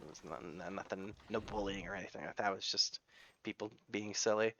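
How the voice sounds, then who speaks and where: A man's voice: on the low side, steady tone, fairly quick, creaky, a bit bright, slight background noise. A man with an American accent giving chatty, rambling gameplay commentary and often correcting himself. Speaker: gaming YouTuber.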